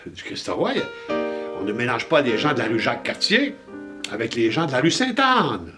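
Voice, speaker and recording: A man's voice: medium pitch, sing-song, moderate pace. An older man with a Saguenay Québécois French accent, telling a playful, musing monologue about where he comes from. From Chicoutimi, Saguenay, Quebec. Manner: playful, musing, rising emphasis on place names. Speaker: storyteller host. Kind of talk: monologue with background guitar. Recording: music bed under voice.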